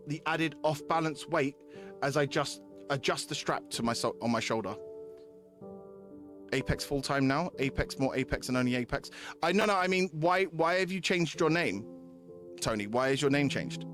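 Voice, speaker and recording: Male streamer, fairly high pitch, informal and repetitive, talking to chat with music clearly behind him. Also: normal speed, long pauses, fairly bright tone. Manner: repeats phrases while addressing chatters. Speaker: live game streamer. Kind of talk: casual stream chat mixed with reading visual novel text aloud.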